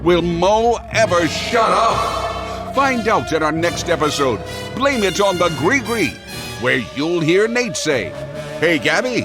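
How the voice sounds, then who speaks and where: A man announcing an audio drama serial in a theatrical old-time radio style, with hammy, drawn-out emphasis. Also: sits high, sing-song, over music.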